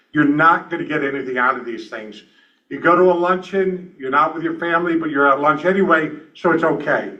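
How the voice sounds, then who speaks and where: A man in his sixties hosting an event, talking to the audience in a brisk, folksy, self-deprecating way. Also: on the high side, bright, forceful tone, distant mic, highs cut off. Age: 60s. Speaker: event host and speaker. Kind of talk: lively stage talk to an audience.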